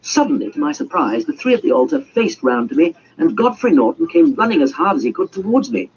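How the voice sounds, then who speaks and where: A man's voice: sits high, big pitch swings, a little fast, darkish tone. A man reading a story as a theatrical audiobook narrator in a dramatic, scripted style. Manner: theatrical, voices dialogue. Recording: muffled, noisy amateur audiobook recording.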